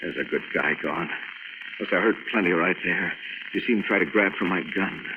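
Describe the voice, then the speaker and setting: An actor playing a tough, wisecracking adventurer with an American accent, brusque and slangy, in an old radio serial. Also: male voice, low voice, fairly quick, natural voice. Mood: grim. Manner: brusque, slangy, hard-boiled. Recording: noisy, tinny old radio recording.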